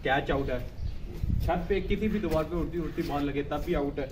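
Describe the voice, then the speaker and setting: A man's voice: on the high side, moderate pace. A young man with a Pakistani accent, bantering casually during a game on an open rooftop, picked up by a distant camera. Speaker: vlogger and amateur cricket player. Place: open concrete rooftop outdoors. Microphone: camera several metres away. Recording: echoey, distant camera mic, noisy outdoors.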